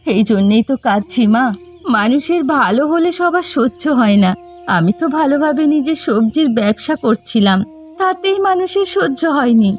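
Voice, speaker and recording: A woman's voice: sits high, lively intonation, moderate pace, faint music behind. A woman voicing a distressed village mother who farms vegetables in a cartoon story. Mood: tearful, despairing. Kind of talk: Bengali cartoon voice acting.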